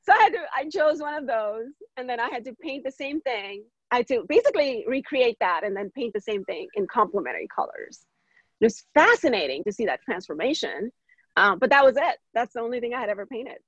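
A woman from India in her thirties, chatting as an interview guest, animated, laughing often and using plenty of fillers. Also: fairly high pitch, fairly quick, no echo, dull audio. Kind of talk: casual interview conversation.

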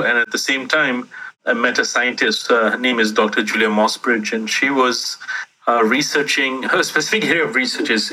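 A man's voice: medium pitch, sharp tone, rough recording. A man who grew up in Singapore, a startup founder telling his story as an interview guest in a reflective, meandering way with self-deprecating humor. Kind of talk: interview answer, personal storytelling.

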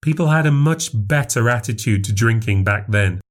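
A man's voice: medium pitch, expressive, normal speed, close to an everyday voice. A man voicing a genteel, self-righteous grandmother in an audiobook, prim and insistent. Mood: prim, wistful. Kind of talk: audiobook character voice.

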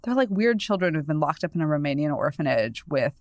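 A woman's voice: low-pitched, big pitch swings, quick pace, no echo, studio quality. A woman in her thirties from New England, a guest on a podcast, talking in a chatty, discursive way full of "you know" asides and easy laughs. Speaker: guest, newspaper columnist. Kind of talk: casual podcast conversation.

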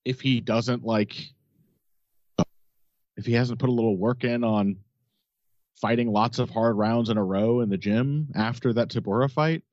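A man's voice: mid-range voice, moderate pace, pauses often, darkish tone, no echo. A man co-hosting a podcast, talking in casual analytical chat with blunt, wry opinions. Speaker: podcast co-host. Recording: dull dry lo-fi audio.

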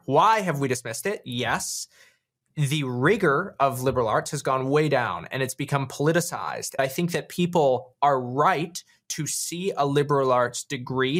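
A man's voice: mid-range voice, a bit bright. A man speaking as an interview guest in a reflective, storytelling style, earnest and emphatic, drawing out key words. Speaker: podcast guest, writer and founder.

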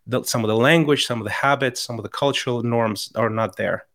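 A man's voice: medium pitch, fairly quick, fairly bright tone. Chilean guy on a podcast, earnest, keeps restarting his sentences. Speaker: podcast guest. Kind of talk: casual conversation.

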